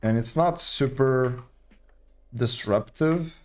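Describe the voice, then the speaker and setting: A man's voice: mid-range voice, slow pace, soft background music. A young man from Portugal, casually thinking aloud as he live-streams a building game. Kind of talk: live gameplay commentary.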